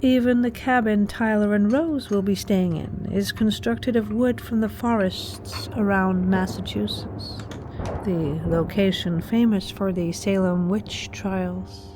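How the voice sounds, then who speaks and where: An actress playing the poised, seductive and sinister mistress of a haunted mansion, formal and controlled in a horror audio drama. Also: female voice, mid-range voice, fairly even intonation, measured pace, background noise, natural voice. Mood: solemn.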